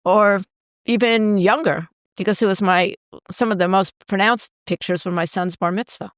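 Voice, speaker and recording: A woman's voice: medium pitch, steady tone, moderate pace, smooth, dry recording, studio quality. A middle-aged woman hosting a podcast, reflective as she tells stories in casual chat. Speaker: podcast host.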